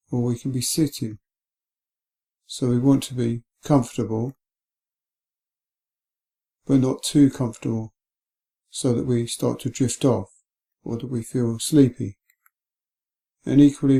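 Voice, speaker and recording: Male guided-meditation voice, soft-edged and relaxed, calm and unhurried, flat melody. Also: mid-range voice. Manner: gentle, calm, unhurried with long pauses. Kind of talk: guided body scan meditation.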